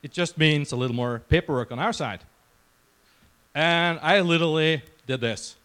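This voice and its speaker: A man's voice: fairly high pitch, moderate pace, fairly bright tone, clean tone. A man of about forty from Norway, with a Norwegian accent, giving an informal, conversational conference talk to a crowd. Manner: informal, conversational, self-deprecating. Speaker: conference speaker. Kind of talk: casual conference presentation. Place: conference session room before a crowd.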